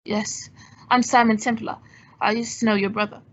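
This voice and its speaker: A woman playing a suave, wry gentleman sleuth, polished and teasing, in an old-time radio detective drama. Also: a little high, level tone, normal speed, muffled recording, natural voice. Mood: cool, polite.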